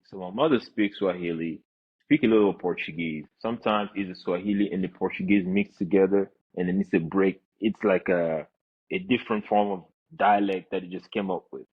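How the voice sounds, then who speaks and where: A man's voice: sits low, steady tone, moderate pace, breathy edge. A young man from Congo who grew up in the US, chatting casually as a podcast guest over a muffled video call. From born in Congo, grew up in the US. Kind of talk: casual chat. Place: room with large windows, indoors. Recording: muffled, narrow-band video call audio.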